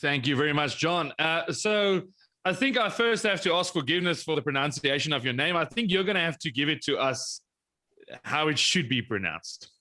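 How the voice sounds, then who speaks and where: A man's voice: fairly high pitch, expressive, moderate pace, pushed and bright. A man hosting a research talk competition, thanking and introducing presenters in an upbeat way. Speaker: competition host.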